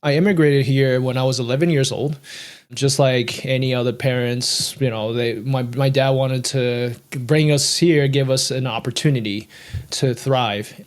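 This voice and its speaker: A man in his thirties from Taiwan, a podcast guest telling his story in an earnest, steady way. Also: medium pitch, moderate pace. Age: 30s. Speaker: guest, real estate investor. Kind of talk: podcast interview.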